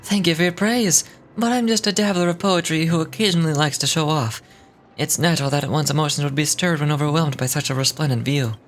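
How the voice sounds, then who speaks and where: A woman plays a courteous game dreamweaver, a romantic former sculptor with a lowered, man-like voice. He speaks in florid, formal, poetic phrases as he reads out his lines. Music plays clearly behind the voice. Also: fairly even intonation, quick pace, lightly acted. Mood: rapturous. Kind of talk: reading game dialogue aloud.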